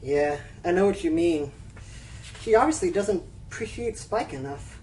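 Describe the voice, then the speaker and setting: A woman acting as an excitable, scatterbrained prankster pony, flighty and playful, in a cartoonish radio play. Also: fairly low pitch, normal speed, far from the mic, slight background noise, close to an everyday voice, low-quality recording. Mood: offhand.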